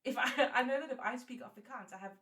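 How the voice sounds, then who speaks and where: Woman, South African accent, high bright voice, rambling frank chat, a little distant from the mic. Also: quick pace. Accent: South African English. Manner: frank, rambling, self-deprecating. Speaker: interview guest. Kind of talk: casual conversation.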